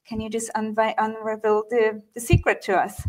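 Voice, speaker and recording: A woman with a higher voice hosts a live online session. She sounds upbeat and welcoming as she introduces her guests and greets viewers. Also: flat delivery, moderate pace, darkish tone, poor audio. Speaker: host.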